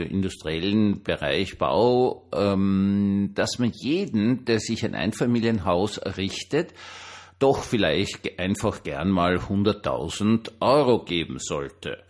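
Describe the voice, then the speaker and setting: An older man with a Viennese Austrian accent, speaking as a pastor in an informal, hesitant but emphatic personal monologue. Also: fairly low pitch. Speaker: pastor and online diary host.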